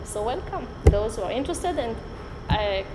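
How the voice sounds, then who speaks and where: A woman's voice: a little high, normal speed. Israeli woman lecturing in an echoey hall. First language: Hebrew. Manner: animated, gestures a lot, many "uh" fillers. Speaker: college lecturer. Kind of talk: spontaneous guest lecture. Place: lecture room. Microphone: far camera. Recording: roomy hall with background noise.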